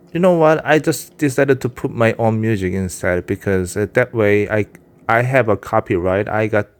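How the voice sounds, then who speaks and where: Korean-accented man chatting to his cat. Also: fairly low pitch. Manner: rambling, candid, frequent fillers and restarts. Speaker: vlogger and self-published writer. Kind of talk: casual monologue to his cat.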